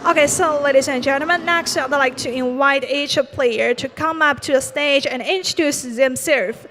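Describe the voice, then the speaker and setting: A woman's voice: sits high, normal speed, a bit bright, slight background noise. A woman hosting a live esports event on stage, introducing players in Mandarin and English with upbeat, formal announcer phrasing. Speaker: bilingual event emcee.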